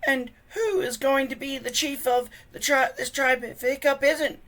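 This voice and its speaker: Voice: sits high, steady tone, normal speed, a bit bright, a little hiss or hum, pitched above the performer's own voice. Someone reading a storybook aloud, voicing an indignant young Viking boy who challenges others sarcastically. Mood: indignant, sarcastic.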